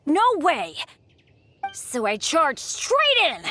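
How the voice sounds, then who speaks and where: Excitable teenage boy adventurer, very lively. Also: high-pitched, extremely slow, slight background noise, full character voice. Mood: eager storytelling. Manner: animated, eager storytelling. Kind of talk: video game voice acting.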